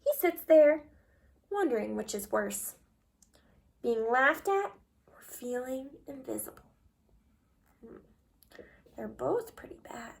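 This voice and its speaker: A woman reading a children's story aloud expressively and pausing to put guiding questions to young listeners. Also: high voice, lively intonation, vocal fry. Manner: slow, deliberate, asks guiding questions.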